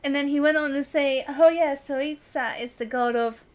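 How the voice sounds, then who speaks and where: A woman's voice: high voice, steady tone, moderate pace, darkish tone. A woman giving casual live gameplay commentary as a streamer, musing aloud in a chatty, giggly manner.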